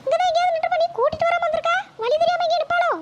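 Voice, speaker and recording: A woman playing an angry village mother, scolding her son at speed. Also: steady tone. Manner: rapid shrill scolding. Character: angry mother, daughter-in-law, loud and quick to blame. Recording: loud noisy recording with clicks.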